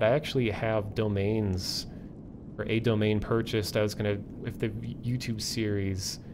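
A man chatting casually as a streamer, rambling and halting as he thinks aloud. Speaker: streamer and online community host. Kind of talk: casual livestream chat. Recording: low rumbling background noise.

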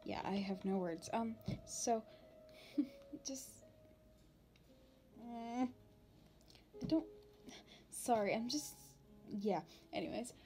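A woman voicing a shy young demon princess in an ASMR roleplay, talking informally and haltingly to the listener. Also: on the high side, dark tone. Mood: hesitant, nervous. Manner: hesitant, halting, informal with long pauses. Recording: background music.

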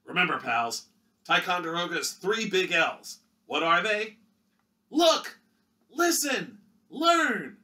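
A man voicing a brash 1930s adventurer hero who talks in a punchy, loud way. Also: high voice, sing-song, normal speed, recorded from a distance, voice pushed up, exaggerated voice. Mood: rousing. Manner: brash, punchy, calls people pal.

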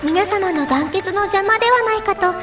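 A woman's voice: extremely high pitch, moderate pace, echoey room. A woman playing an earnest, very polite foreign teenage idol who adores Japan, in an anime audio drama. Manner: very polite, formal, eager speech. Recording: music under the voice.

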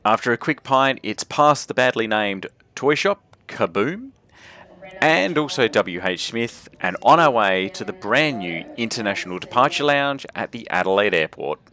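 A man's voice: lowish voice, sing-song, normal speed, bright, forceful tone. A man narrating his travel vlog in a chatty, wry voice-over. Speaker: travel vlogger. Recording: music bed under the voice.

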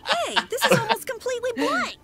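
A woman's voice: very high voice, lively intonation, brisk. A woman voicing a whiny, boastful young boy adventurer in an anime video game dub, with a youthful, boyish voice. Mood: curious. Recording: game audio over music.

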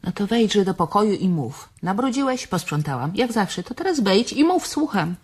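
A woman's voice: medium pitch, big pitch swings, moderate pace, breathy edge. An actress playing a curt, irritated, lonely woman in a radio drama. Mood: irritated, impatient. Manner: sharp, impatient.

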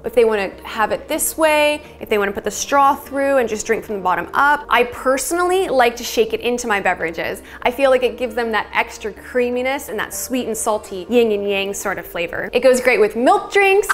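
A woman's voice: sits high, fairly bright tone. A woman in her thirties, a bubble tea shop owner, giving an upbeat, chatty recipe tutorial to camera in a small shop kitchen.

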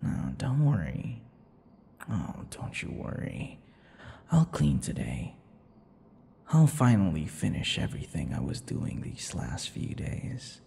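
A man playing a possessive vampire lover in an intimate ASMR roleplay, teasing and menacing, murmuring slowly with drawn-out words. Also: wildly swooping pitch, dark tone, heavily acted. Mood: doting, casual. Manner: slow, breathy murmurs, drawn-out words, low chuckles. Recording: long reverb, faint background noise.

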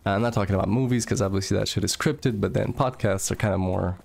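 Gravelly-voiced man streaming. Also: lowish voice, fast talker, a little mellow. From Moldova. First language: Russian. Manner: chatty, loose, swears casually, reads chat aloud. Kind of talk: casual live-stream chat while gaming.

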